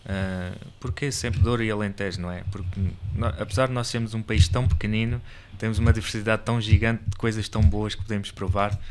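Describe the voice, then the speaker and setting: A man with a fairly low voice chats eagerly as a podcast co-host. Faint background noise sits under him. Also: steady tone, fast talker, hardly any pauses. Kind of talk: casual chat.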